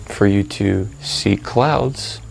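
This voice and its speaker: A man leading a guided meditation in a calm, unhurried, conversational way, with long pauses and plain, informal wording. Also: fairly low pitch, vocal fry, a little hiss or hum, poor audio.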